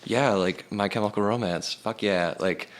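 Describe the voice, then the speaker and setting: A young man with a very deep, resonant voice talks in a relaxed interview. He rambles and stacks up fillers as he tells stories about his band. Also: moderate pace. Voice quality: low resonant voice. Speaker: band singer. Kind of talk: casual interview chat.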